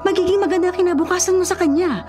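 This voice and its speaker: A woman's voice: very high-pitched, rapid-fire. An actress with a Filipino accent playing a stern, controlling, well-off mother in a radio drama, scolding and insistent. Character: stern, controlling wealthy mother of a grown daughter. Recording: music bed behind the voice.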